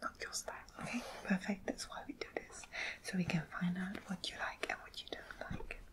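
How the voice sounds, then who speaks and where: A woman speaking in a soft, breathy whisper, gently murmuring to a customer as a shop assistant in an ASMR roleplay. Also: lowish voice, fairly even intonation, fairly quick. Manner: gentle, murmured, hesitant phrasing addressed to a customer. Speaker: ASMR host playing a quilt shop assistant.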